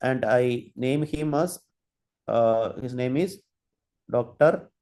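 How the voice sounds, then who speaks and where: A man giving an online training lecture, halting, with many fillers and restarts while he demonstrates. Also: medium pitch, warm tone. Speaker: guest lecturer.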